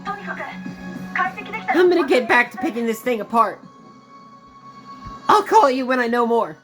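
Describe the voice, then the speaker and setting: A woman voicing a brash, excitable young hacker in a video game, blunt and sarcastic. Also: sits high, slow, heavily acted. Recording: music behind the voice.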